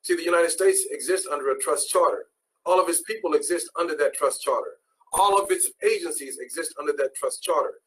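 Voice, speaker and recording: A man's voice: fairly high pitch, fairly quick, a bit bright, rough recording. A man who is a guest on a talk show, explaining his views in an assertive, lecturing way.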